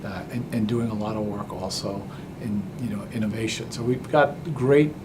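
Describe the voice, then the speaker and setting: Guy from pakistan chatting in an interview, lowish voice, some stumbles, noisy recording. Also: normal speed. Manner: friendly, repeats words at starts of phrases. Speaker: interview guest, business founder. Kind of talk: casual interview answers.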